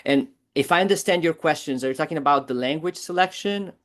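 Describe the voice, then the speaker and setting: A man's voice: fairly high pitch, fast, fairly bright tone. Man, presenter at online conference, candid, hesitant, lots of fillers.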